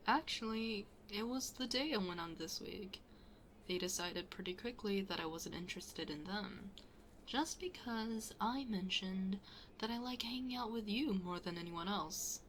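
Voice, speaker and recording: Woman acting bashful best friend, slow and breathy. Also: mid-range voice, a bit bright, slight background noise, close to an everyday voice. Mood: embarrassed, confessing. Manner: hesitant, bashful, often dropping to near-whisper. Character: shy, flustered best friend hiding a crush. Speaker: ASMR roleplay performer. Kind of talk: ASMR roleplay, intimate casual conversation.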